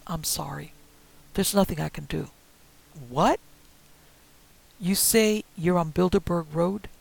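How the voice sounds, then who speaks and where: A man's voice: high voice, slow, gravelly, exaggerated voice, rough recording. A man voicing a tired, apologetic police operator who cannot help. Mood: weary. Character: weary unhelpful male police operator.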